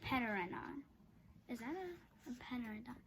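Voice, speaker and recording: A woman reading a children's chapter book aloud, haltingly, stumbling over long words. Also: on the high side, animated, gravelly, a little hiss or hum. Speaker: storybook reader.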